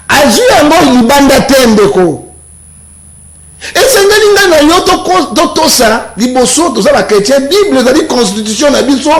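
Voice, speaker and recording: A very high-voiced Congolese man with a lot of creak in his delivery. He argues emphatically, swinging widely in pitch and repeating phrases for force. The room around him is echoey. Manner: emphatic, repetitive, rhetorical questions. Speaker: self-styled prophet interviewed as guest. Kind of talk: animated political and religious argument.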